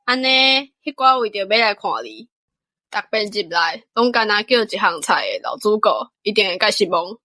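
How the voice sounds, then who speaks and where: A woman's voice: medium pitch, normal speed, bright, forceful tone, no room sound. A woman with a Taiwanese accent playing a teasing young man in an audio drama.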